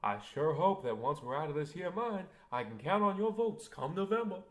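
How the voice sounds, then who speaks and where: Man acting a high, lively folksy peanut farmer. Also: moderate pace. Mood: folksy, earnest. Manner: folksy, earnest, polite. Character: earnest, folksy male peanut farmer turned campaigning politician. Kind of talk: old-time radio comedy acting.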